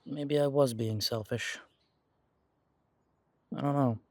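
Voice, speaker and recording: A man playing an insecure, defensive werewolf in an audio roleplay, speaking in a halting, stammering way as if confiding in someone close. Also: mid-range voice, steady tone, a little mellow, no echo. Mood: regretful. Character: insecure pure-blood male werewolf, self-doubting, defensive. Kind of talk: audio roleplay drama, one side of an intimate conversation.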